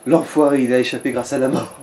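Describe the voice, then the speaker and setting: Mellow-voiced man casually commentating a game. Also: mid-range voice, steady tone, fast talker. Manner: informal, swearing, coaching. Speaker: let's play co-commentator.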